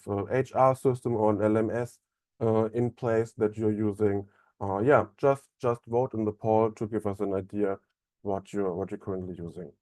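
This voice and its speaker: German accent guy doing a webinar, lowish voice, lots of ums, dry close mic. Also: no echo. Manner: relaxed, many fillers and restarts. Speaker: onboarding specialist presenting a webinar. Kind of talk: webinar presentation.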